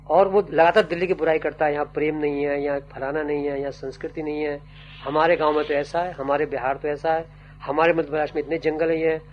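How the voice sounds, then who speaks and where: An older man, a writer and poet, giving slow, musing interview answers that trail off into fillers. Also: on the high side, a little mellow. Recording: dull old tape with faint hum.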